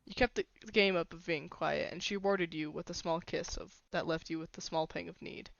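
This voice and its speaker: A woman's voice: fairly low pitch, steady tone, fairly quick, gravelly, no echo. A woman reading a fan fiction story aloud as scripted narration, on a slightly dull recording.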